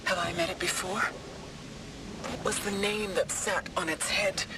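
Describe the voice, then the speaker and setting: An actor playing a haunted male voice from a recovered log, speaking in a slow, hushed, partly whispered way. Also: sits high, steady tone, heavy background noise. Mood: brooding. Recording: distant voice under loud music. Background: loud game music.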